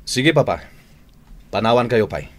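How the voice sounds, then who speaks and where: An actor playing an urgent, deferential grown son in an Ilocano radio drama. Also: male voice, mid-range voice, animated, a little slow, a little hiss or hum, close to an everyday voice.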